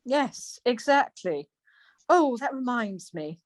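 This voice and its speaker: An actress playing a dry, sarcastic, teasing middle-aged wife in an amateur radio play. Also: female voice, a little high, very animated, takes it slowly, dry recording.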